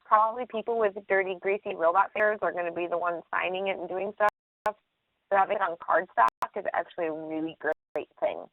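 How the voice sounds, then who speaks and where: A woman's voice: on the low side, steady tone, brisk, dry recording. Woman running a casual how-to conference call, thin phone sound, pretty dry. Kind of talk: casual instructional group call. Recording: narrow tinny phone conference line.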